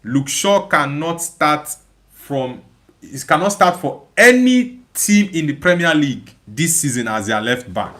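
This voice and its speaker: Higher-pitched man hosting a casual livestream. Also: very animated, unhurried, pushed and bright. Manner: emphatic, repeats phrases as he thinks aloud. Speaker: livestream host. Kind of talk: casual live chat, reading viewer comments aloud and replying.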